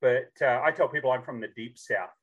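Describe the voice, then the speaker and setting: An Australian man in his fifties telling stories casually as a podcast guest, jokey and animated as he acts out bits of dialogue. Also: medium pitch, a little fast, highs cut off. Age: 50s. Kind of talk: casual storytelling.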